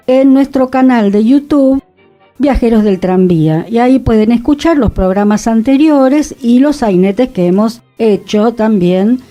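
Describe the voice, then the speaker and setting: A woman, the director and co-host of a radio show, talking in a thoughtful, unhurried way. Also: fairly high pitch, dark tone. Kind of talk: relaxed radio conversation.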